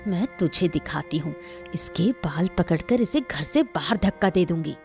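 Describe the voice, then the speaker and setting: A woman's voice: on the low side, animated, normal speed, darkish tone, soft background music. A woman playing a scheming, scornful, threatening mother-in-law in a cartoon moral story. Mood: menacing. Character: scheming, spiteful mother-in-law of a rich household.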